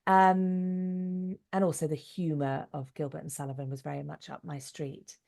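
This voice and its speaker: A woman in middle age speaks as an interview guest. Her voice sits low, with some gravelly fry at the edges. She answers conversationally, in an articulate and thoughtful way. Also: flat delivery, moderate pace, darkish tone. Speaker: interview guest, actress, writer and singer.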